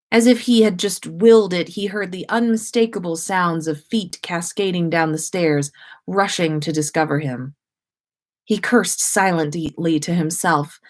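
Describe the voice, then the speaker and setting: A woman with a slightly low-pitched voice reads a story aloud. She narrates as a storyteller, hosting a reading of written fiction. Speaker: host reading listeners' stories on a livestream.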